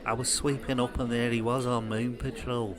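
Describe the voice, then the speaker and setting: A man's voice: mid-range voice, little pitch movement, normal speed, a crowd in the background, lightly acted. A man acting an ordinary working bloke turned criminal recruit, speaking casually and a bit guardedly with a British accent. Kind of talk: naturalistic audio drama dialogue.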